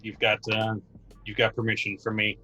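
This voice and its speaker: A man speaking as a guest in a casual video chat, calm and unhurried. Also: fairly low pitch.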